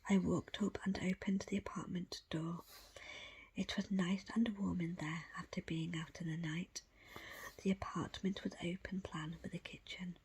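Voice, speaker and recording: A woman whispers a story in an ASMR style, her voice almost entirely breath with very little tone. She reads in the first person as an audiobook reader, hushed and measured, keeping the low-set delivery soft throughout. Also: husky.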